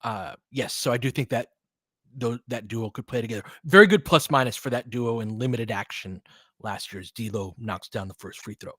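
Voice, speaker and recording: Male basketball commentator, mid pitch, dry close sound, casual host style with clipped play-by-play. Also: normal speed, sharp tone, no echo. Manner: clipped, fragmentary play calls. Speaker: host doing fan play-by-play of a basketball game. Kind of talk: live sports commentary mixed with casual chat.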